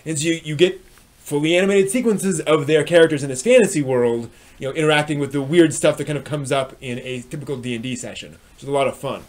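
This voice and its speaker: Male live-stream reviewer, slightly high voice, halting and self-correcting, unscripted delivery. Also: darkish tone. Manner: halting, hedging, repeats and rephrases phrases; frequent throat clearing.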